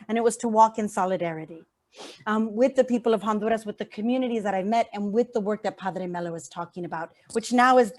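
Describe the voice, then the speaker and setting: A woman speaks as an activist at an online event. She is earnest and heartfelt, repeating key phrases for emphasis. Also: fairly high pitch. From San Francisco Bay Area, USA.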